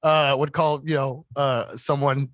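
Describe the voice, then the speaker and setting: A man with a high-pitched voice co-hosting a casual podcast from a radio studio, rambling and laughing often. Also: little pitch movement, measured pace, fairly bright tone, no room sound, muffled recording. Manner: laughs a lot, rambling, self-deprecating. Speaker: podcast co-host. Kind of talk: casual chat. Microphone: studio mic.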